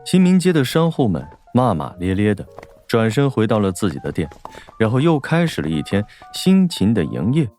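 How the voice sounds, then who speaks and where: A man's voice: fairly low pitch, huge pitch swings, normal speed, dark tone, soft background music. A man reading a scripted novel as an audiobook narrator.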